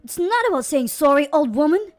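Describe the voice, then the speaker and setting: A woman voicing a spiteful, bullying male office director who shouts and sneers. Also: high-pitched, sing-song, brisk, faint music behind, pitched above the performer's own voice. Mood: angry shouting.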